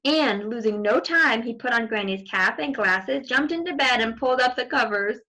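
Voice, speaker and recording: A woman's voice: on the high side, lively intonation, normal speed, sharp tone, rough recording. A woman reading a children's story aloud expressively, with asides to young listeners. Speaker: storybook reader.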